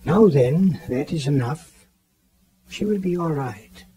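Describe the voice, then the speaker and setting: An actor playing a grave, authoritative physician-professor in a radio drama, giving decisive, clipped instructions. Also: male voice, fairly high pitch, animated, slow pace, a crowd in the background. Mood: measured, musing.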